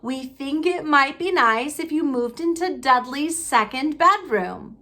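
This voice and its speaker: A woman voicing a gruff, blustering middle-aged uncle in a storybook, snapping and sneering. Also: high-pitched, lively intonation, a little slow, pitched above the performer's own voice, exaggerated voice. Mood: forced niceness. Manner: snapping, sneering, forceful delivery.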